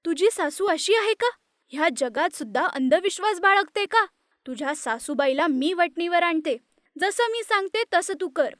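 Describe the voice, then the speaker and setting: A woman's voice: very high voice, animated, normal speed, a bit bright, no echo, studio quality. Woman voicing an insistent young sister. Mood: indignant. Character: bold, outspoken young woman, protective sister.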